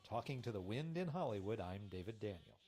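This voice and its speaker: Lively-voiced male entertainment reporter. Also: fairly low pitch, fairly quick. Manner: lively, expressive reporter delivery. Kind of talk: scripted news report.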